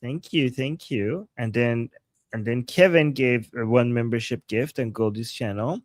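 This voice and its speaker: Co-host, male, from Iran: chuckly, stop-start delivery, mid pitch, dry close sound. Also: no room sound. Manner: chuckling, halting, restarts sentences. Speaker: co-host reading viewer chats. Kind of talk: casual livestream chat.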